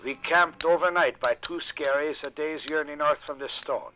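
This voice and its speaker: An actor playing a solemn medieval Norse explorer, declaiming a grim account in 1950s radio drama style. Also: male voice, on the high side, moderate pace, pushed and bright, slight background noise, low-quality recording. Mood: solemn, grave.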